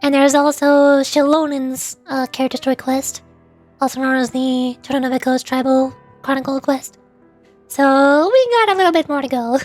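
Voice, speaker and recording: High-voiced rambling woman streamer. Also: steady tone, moderate pace, a little mellow. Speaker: VTuber game streamer. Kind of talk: casual livestream chat. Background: faint music.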